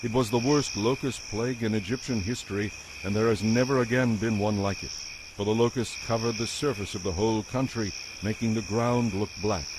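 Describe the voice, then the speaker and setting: A man's voice: fairly low pitch, fairly even intonation, brisk, noisy background, close to an everyday voice. A man narrating a dramatized Bible story as a solemn storyteller.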